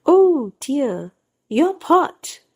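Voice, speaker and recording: A sly, sweet-talking neighbour woman from a storybook, as a female reader acts her out. Her speech is singsong and overly polite, lifting high and swinging widely in pitch at an unhurried pace. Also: very animated, a little mellow, full character voice. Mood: apologetic. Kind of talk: children's storybook character reading.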